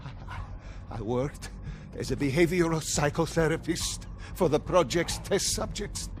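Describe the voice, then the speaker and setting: An actor playing a defensive psychotherapist under interrogation in a video game, speaking in a formal, precise, indignant way. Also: male voice, a little high, animated, measured pace, a little hiss or hum. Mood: uneasy, hesitant. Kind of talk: video game cutscene acting. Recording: music behind.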